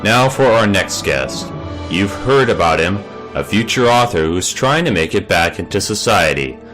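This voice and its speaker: An actor playing a smooth, tactless television talk show host in an animated comic. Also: male voice, low-pitched, normal speed, over music. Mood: breezy.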